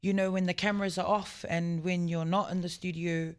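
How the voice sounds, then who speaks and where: A woman's voice: lowish voice, flat delivery, a little fast, clean tone. A young woman hosting a casual radio interview, chatty and affectionate, with lots of "like" and "you know.". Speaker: radio show host.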